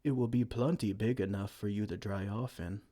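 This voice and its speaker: A man playing a soft-spoken gentle giant. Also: on the low side, steady tone, moderate pace, close to an everyday voice. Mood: tender. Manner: soft-spoken, soothing, tender pet names. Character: kind gentle male giant caring for a tiny human. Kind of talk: ASMR roleplay.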